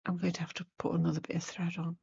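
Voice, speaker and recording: A woman with a croaky, hoarse voice from a cold, giving a casual, chatty craft tutorial and thinking aloud as she goes. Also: lowish voice, completely flat delivery, rapid-fire, warm tone, highs cut off. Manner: unscripted, rambling, thinking aloud while working. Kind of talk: casual chatty needlework demonstration.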